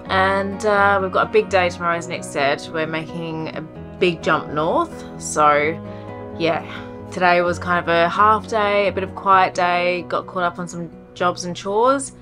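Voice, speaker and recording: A woman's voice: lowish voice, steady tone, unhurried, music in the background. A woman in her thirties talking casually to a handheld camera on the deck of a catamaran, easygoing and matter-of-fact. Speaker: sailing vlogger. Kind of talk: casual vlog narration. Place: on deck of a catamaran at sea, outdoors. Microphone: handheld camera at arm's length.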